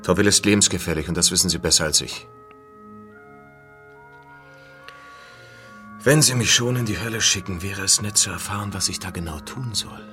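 A man acts out a jaded expedition veteran in a radio play. His voice is low, dry and sardonic, deliberate as he tells his story. Music plays clearly behind him. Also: close to an everyday voice. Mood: wary, sardonic. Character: world-weary, light-shunning male expedition veteran, sardonic. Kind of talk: radio drama dialogue and first-person noir narration.

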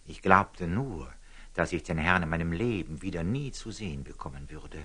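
Pious simple friar, low rough voice, artless, deferential, classical radio play. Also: male voice, normal speed, bright, forceful tone, heavily acted. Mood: relieved, earnest. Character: humble, pious, simple-hearted lay friar with sly candour. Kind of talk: classical radio drama acting.

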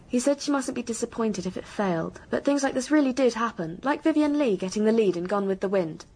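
A woman's voice: a little high, lively intonation, a little fast, mellow, breathy edge, rough recording. An actress playing an anxious, earnest English schoolgirl in a radio drama, confiding with an apologetic manner.

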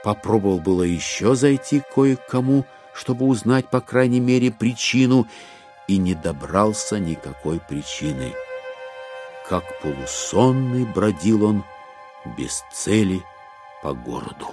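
An actor playing a wry, ironic narrator, reading literary prose in a measured way for an audio drama. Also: male voice, on the low side, animated, a little mellow, soft background music.

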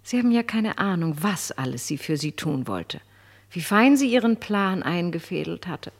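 An actress in a German radio play playing a flattering, scheming French marquise with an affected, condescending manner. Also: female voice, lowish voice, normal speed. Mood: gracious reproach. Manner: affected, gracious, condescending, teasing.

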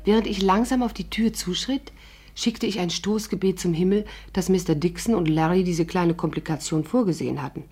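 Low, husky young woman narrator. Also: a little fast, dark tone, faint music behind. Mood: confiding. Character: young female adventuress recounting her own escapade. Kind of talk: scripted first-person narration in 1950s radio play.

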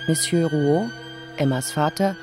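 A woman makes a scripted radio drama announcement, reading out title and cast. Violin music plays clearly beneath the voice. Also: low voice, measured pace.

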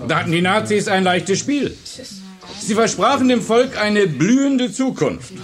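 An actor playing an earnest, patient history teacher in a radio drama, explaining emphatically to a class. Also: male voice, high-pitched, expressive, measured pace, soft background music. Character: male high school history teacher, earnest, patient, engaged. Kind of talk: German radio drama acting.

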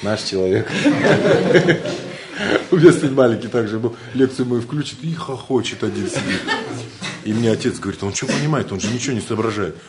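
A man's voice: lowish voice, fairly quick, pauses often, very noisy. Joking Siberian man giving a lecture. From Siberia, Russia. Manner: joking, colloquial, swings between loud bursts and quiet asides. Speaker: spiritual lecturer. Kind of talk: informal spiritual lecture.

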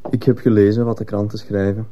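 Muffled, dark-voiced defiant schoolboy played by a man. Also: on the low side, little pitch movement, quick pace, a little hiss or hum, lightly acted. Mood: cold, curt. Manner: curt, defensive, insolent. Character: defiant, cold, evasive teenage schoolboy. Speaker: actor in a radio play. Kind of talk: Dutch-language radio drama acting.

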